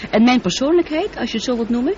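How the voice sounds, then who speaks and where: Woman acting as super smart ship computer lady, measured and humanlike, old radio play, muffled with faint noise. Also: on the high side, expressive, a little mellow, close to an everyday voice. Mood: calm, analytical. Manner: measured, articulate, explanatory. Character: highly intelligent female spaceship computer, calm, teasing, humanlike. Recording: dull noisy old radio play recording.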